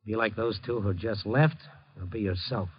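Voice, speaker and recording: An actor playing an earnest, hard-boiled newspaper reporter, urgent and moralizing, in a 1950s radio drama. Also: male voice, on the low side, normal speed, breathy edge, dry recording. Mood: firm persuasion. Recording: dull vintage radio broadcast recording.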